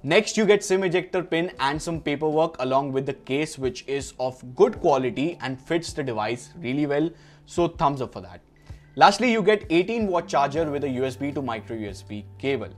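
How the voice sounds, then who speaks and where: Casting: young Indian-accented male tech reviewer, fairly high voice, scripted narration over light music. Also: animated, moderate pace.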